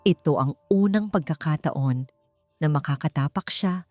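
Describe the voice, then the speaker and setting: A woman with a fairly low voice narrates a romantic audiobook over music. She reads in a measured storytelling way, setting phrases apart with deliberate pauses. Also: darkish tone. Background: background music.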